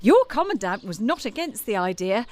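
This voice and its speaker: Actress as a bold, high-voiced French chanteuse. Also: female voice, sing-song, normal speed. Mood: charming, persuasive. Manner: coquettish, self-assured, theatrical. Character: bold, charming, flirtatious French woman cabaret singer. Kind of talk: audio drama acting.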